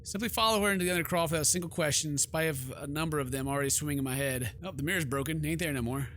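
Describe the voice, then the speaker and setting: A man's voice: fairly high pitch, racing, a bit bright, a little hiss or hum. Jokey man narrating a horror game. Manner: jokey asides, playful slang. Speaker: streamer playing a horror visual novel. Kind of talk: let's play narration with joking commentary.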